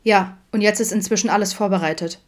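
A woman's voice: mid-range voice, fast talker, voice pushed up, close to an everyday voice. A woman voicing a bossy male colleague in an audiobook, patronizing and reproachful.

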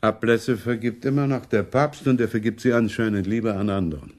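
A man's voice: fairly low pitch, brisk, darkish tone, close to an everyday voice. A man acting a money-minded, imperious German prince in a radio play, sardonic and complaining in manner. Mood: aggrieved.

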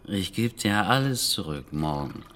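A man's voice: deep voice, lively intonation, measured pace, noisy background. An actor in a German radio drama playing a listless, reticent man who speaks tersely and hesitantly. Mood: subdued. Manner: terse, hesitant, mumbled hums. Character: Tolja, listless, weary man, reticent and indebted. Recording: music under the voice.

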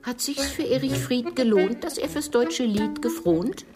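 A woman's voice: on the high side, expressive, a little slow. A woman reciting scripted comic rhyming verse. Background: music.

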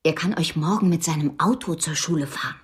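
An actress playing a brisk, slightly flustered mother of two girls in a naturalistic German radio drama. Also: sits low, natural voice. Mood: flustered, motherly.

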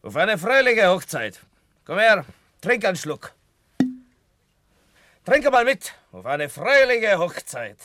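A man acting a boisterous, mocking villager in a German radio drama, jeering and jovial in rustic speech, with a sharp, gravelly voice. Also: high voice, very animated, slow pace, long pauses, no room sound, clean studio sound, exaggerated voice. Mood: mockingly jovial.

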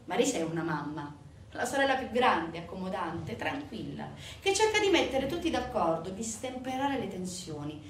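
A woman tells a story as a dramatic stage monologue. Her pitch moves in wide, animated swings, with emphatic phrasing and deliberate pauses. She is heard from a little distance in a roomy, echoing space. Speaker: stage actress narrator. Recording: roomy, distant mic, faint background noise.

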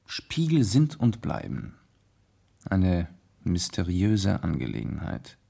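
An actor playing a gentleman detective in a 1970s radio play, reasoning calmly in with measured, deliberate pauses. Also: low voice, gravelly, full character voice. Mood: pondering, explanatory.

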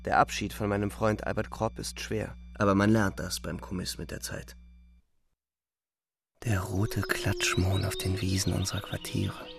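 Grave, gravelly young soldier. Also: male voice, deep voice, flat delivery, over music. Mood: weary, reflective. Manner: grave, deliberate, declamatory. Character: twenty-year-old German soldier, weary, despairing, reflective. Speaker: narrator. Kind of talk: literary radio play monologue.

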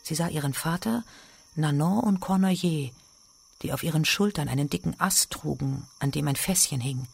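A man's voice: on the high side, normal speed, creaky, slightly rough. A man reading scripted literary narration in a radio drama.

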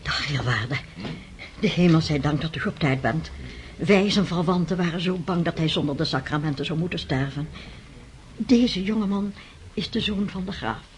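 Genteel, coaxing middle-aged princess played by an actress. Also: female voice, deep voice, moderate pace, lightly acted. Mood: anxious courtesy. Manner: genteel, effusive, persuasive. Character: determined, ingratiating middle-aged Russian princess, pious and motherly. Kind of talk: Dutch radio drama acting. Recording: noisy old radio drama recording.

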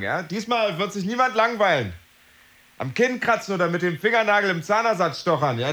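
A man's voice: high voice, a bit bright. An actor playing a brooding, wordy office worker in a radio drama, telling his tale in a confiding, wry, literary manner. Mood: animated, confiding. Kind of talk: modern German radio drama monologue.

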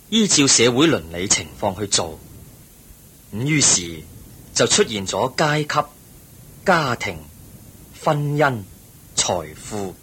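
An actor with a Hong Kong Cantonese accent playing the Buddha in a radio drama, a calm, wise teacher who explains things patiently and in measured phrases. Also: male voice, slightly rough, exaggerated voice. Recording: noisy recording with low rumble.

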